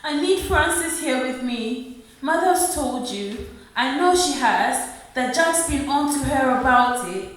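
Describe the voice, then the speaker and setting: A woman acts out an earnest, hard-pressed young mother in a radio drama. Her voice is high and plain-spoken. The sound is strongly reverberant, with her standing back from the mic. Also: expressive, slow pace. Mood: pleading. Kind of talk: radio play acting. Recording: very echoey room, distant from the mic.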